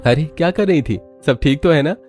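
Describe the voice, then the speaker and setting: A man's voice: on the high side, brisk, a little mellow, faint music behind, pitched above the performer's own voice, lightly acted. A man voicing an attentive, concerned young husband in a family cartoon story. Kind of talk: Hindi cartoon story acting.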